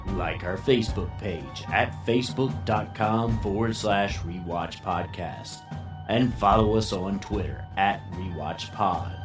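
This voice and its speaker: A male announcer reads a scripted intro at a slow, deliberate pace, his voice low. Guitar music plays clearly underneath. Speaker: show announcer.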